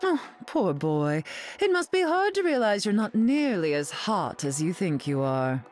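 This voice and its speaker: A woman's voice: mid-range voice, very animated, a little slow, a little mellow, faint music behind. A woman playing a haughty, glamorous, sardonic society queen in a video game, imperious and arch in manner. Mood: imperious, cool. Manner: imperious, arch, drawling endearments. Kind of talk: video game character dialogue.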